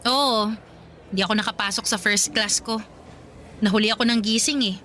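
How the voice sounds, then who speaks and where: A woman's voice: medium pitch, normal speed, pushed and bright, slight background noise, close to an everyday voice. A woman playing a young working college student, a chatty, tired girlfriend, in a conversational radio drama style. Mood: casual complaining.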